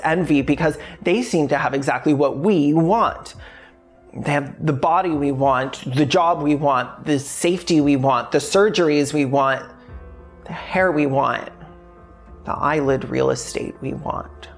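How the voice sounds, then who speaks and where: A woman hosting a video essay in a frank, sardonic way, mixing scripted points with casual, sweary asides. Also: sits low.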